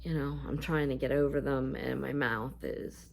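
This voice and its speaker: A woman with a scratchy voice talking casually to her viewers in a vlog, halting and full of fillers. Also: very deep voice, steady tone, vocal fry. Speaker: YouTuber. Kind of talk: casual talk to camera.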